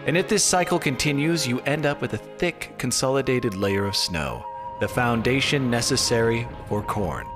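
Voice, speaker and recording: A man reading scripted, mock-documentary narration in a deadpan, tongue-in-cheek formal way. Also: mid-range voice, moderate pace. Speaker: narrator of a skiing explainer video. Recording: music bed under voice-over. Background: music.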